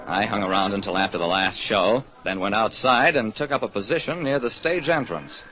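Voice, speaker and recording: A man's voice: on the low side, a little fast, natural voice. An actor playing a hard-luck, wry, world-weary private detective who narrates his case in an old radio drama. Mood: matter-of-fact. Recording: dull, noisy old radio recording.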